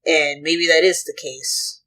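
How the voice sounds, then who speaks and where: A reaction host with a husky voice, commenting casually and thinking aloud in short, halting pieces. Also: medium pitch, steady tone, pushed and bright.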